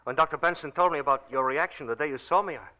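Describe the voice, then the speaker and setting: A dull old radio recording of an easygoing male ex-lieutenant. He talks fast in a fairly high voice, obliging, in 1940s drama style. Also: bright, forceful tone.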